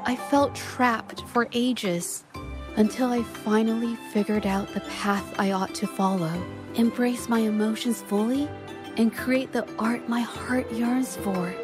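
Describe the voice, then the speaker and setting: A woman's voice: a little high, fairly even intonation, measured pace, over music, lightly acted. A woman voicing a shy, earnest, self-doubting young woman painter in a video game, hesitant and apologetic. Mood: wistful, sincere. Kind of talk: dramatic game voice acting.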